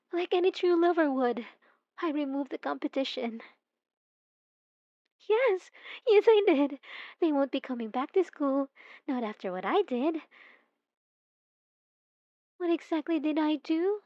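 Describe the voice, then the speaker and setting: Woman voicing a possessive young stalker girl, higher-pitched, intimate cooing, sweetly menacing, dramatic ASMR monologue, dull lo-fi sound. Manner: soft, intimate, cooing, dramatic, drops into whispers. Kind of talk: ASMR audio roleplay, intimate scripted monologue. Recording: dull lo-fi sound with roomy echo.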